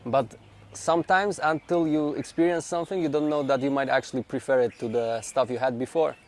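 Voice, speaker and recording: A young man from Poland with a Polish accent, a native Polish speaker, chatting in English in a relaxed, thoughtful way while walking outdoors. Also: mid-range voice, a little fast, slight background noise. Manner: relaxed, thoughtful, with many uhs. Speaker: guest, local resident. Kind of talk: casual conversation while walking. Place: outdoors on a residential street.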